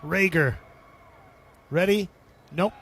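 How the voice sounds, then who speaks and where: High-pitched male baseball play-by-play announcer. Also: slow pace, a bit bright, slight background noise. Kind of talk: live radio sports commentary.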